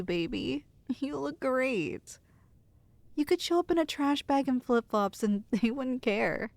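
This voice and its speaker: Woman acting as tender, teasing girlfriend, slightly creaky voice. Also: on the high side, no room sound. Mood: playful. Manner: tender, playful, teasing, reassuring. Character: affectionate teasing girlfriend soothing her nervous partner. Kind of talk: ASMR roleplay, intimate acted monologue.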